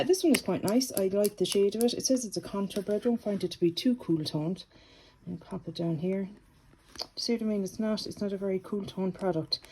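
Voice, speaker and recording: A middle-aged woman with a slightly low voice talks in an easygoing, rambling way, her nose stuffed up from a cold. She records herself at home, and clear background noise runs under her voice. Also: rough recording. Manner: relaxed and chatty, with frequent ums. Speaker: beauty vlogger. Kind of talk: casual chatty product review.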